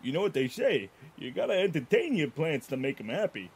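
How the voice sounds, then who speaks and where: A man voicing a pun-loving, teasing cartoon dog with a husky voice and jokey patter. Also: on the high side, sing-song, brisk, heavily acted. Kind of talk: cartoon character voice acting.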